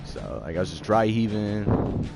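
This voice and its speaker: A man live-streaming a game with casual, profane commentary, his voice raspy and hoarse from a sore throat. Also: lowish voice, very animated, unhurried. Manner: loose, profane, rambling. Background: game music.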